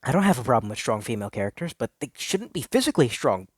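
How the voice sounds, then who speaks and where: A man's voice: mid-range voice, very animated, fast, breathy edge, no echo, clean studio sound. A man playing an insecure, self-deceiving traditional guy who confesses an obsession in an earnest, theatrical dramatic reading. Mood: self-doubting. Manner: theatrical, earnest, with dragged words and indignant outbursts. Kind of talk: melodramatic first-person dramatic reading.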